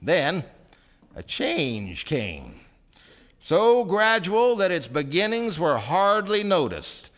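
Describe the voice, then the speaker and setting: A man's voice: sits high, wildly swooping pitch, slow, a bit bright. A man narrating a scripted story dramatically on a theatre stage. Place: theatre stage, live performance.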